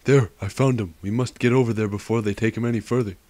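A man's voice: fairly low pitch, fairly even intonation, quick pace, darkish tone, dry recording, lightly acted. A man voicing an earnest, exasperated village man in a parody game dub. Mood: impatient.

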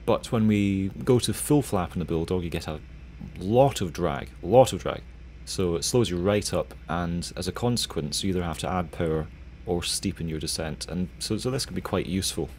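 A man's voice: deep voice, moderate pace, few pauses, a little mellow, a little hiss or hum. A young man giving casual pilot commentary over his flight. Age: young adult.

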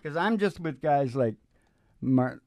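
A man's voice: a little high, expressive, a little slow, a little mellow, no room sound, clean studio sound. An older man making teasing, folksy stage banter as a musician hosting a show, speaking into a stage microphone. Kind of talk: casual joking stage banter. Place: small curtained indoor stage. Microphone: stage microphone on a stand. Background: acoustic guitar.

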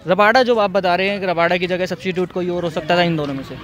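Young male street interviewer, high voice, outdoor ground. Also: steady tone, a bit bright. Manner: quick prompting questions with fillers. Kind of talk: vox pop interview. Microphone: handheld mic.

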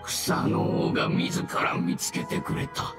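An actor playing a dying ancient mushroom spirit in a game, weary and devoted, with a weak, halting, archaic old man's delivery. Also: on the high side, reverberant, over loud music. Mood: solemn, reverent. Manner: slow, archaic elderly speech, halting. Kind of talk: dramatic game dialogue acting.

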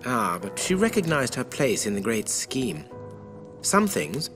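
A grave, riddling storyteller. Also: male voice, medium pitch, huge pitch swings, unhurried, over music. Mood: solemn. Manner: solemn, riddling. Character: grave older man, cryptic storyteller. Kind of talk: video game cutscene acting.

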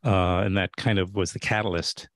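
A middle-aged man hosting a casual interview, halting and often interrupting himself as he searches for his words. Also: deep voice, fairly even intonation, fairly bright tone, no echo. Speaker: interview host. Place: small book-lined home room, indoors. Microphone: studio mic on boom arm.

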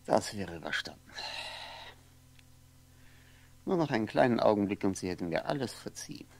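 Lonely man brooding in gravelly voice. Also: fairly low pitch, very animated, exaggerated voice. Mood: sardonic. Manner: halting, musing, repeats words, trails off. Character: lonely drinking man, wistful, sardonic, brooding over memories. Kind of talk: 1960s German radio play monologue.

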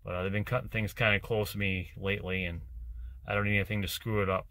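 A man in his forties, a truck driver vlogging casually to camera from his cab, talking in a relaxed, rambling way with plenty of "uh" fillers. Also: on the low side, quick pace. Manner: easygoing, rambling, with many "uh" fillers. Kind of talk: casual vlog chat to camera. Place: inside a truck cab and outdoors in winter. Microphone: handheld or dash camera at arm's length.

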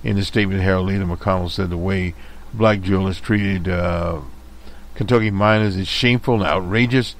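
Very low-voiced man reading news, halting. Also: fairly even intonation. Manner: halting, many "uh" fillers and self-corrections; editorializing asides. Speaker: radio host reading news. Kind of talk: reading news reports aloud with commentary.

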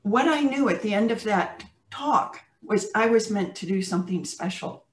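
A woman's voice: mid-range voice, lively intonation. Older American woman, roomy distant webcam. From United States. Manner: measured, thoughtful, with pauses and restarts. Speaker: interview guest. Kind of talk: reflective storytelling on a video call. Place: home room with plants, indoors. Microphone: video call webcam. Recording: roomy video-call audio from a distant mic.

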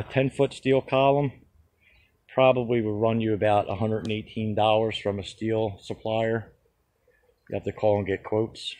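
A man's voice: on the low side, a little mellow. A man walking through a steel-fabrication job outdoors while filming one-handed on a phone, talking practically and step by step. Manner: practical, explains step by step with fillers. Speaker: contractor showing a fabrication method. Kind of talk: casual how-to walkthrough. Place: backyard, outdoors. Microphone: handheld phone.

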